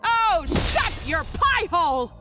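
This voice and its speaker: Scheming villainess, video game, gloating, theatrical, very lively intonation, slow delivery, faint music behind. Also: female voice, extremely high pitch, heavily acted. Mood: bitter disbelief. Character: unhinged scheming woman villain, obsessed with treasure, gloating.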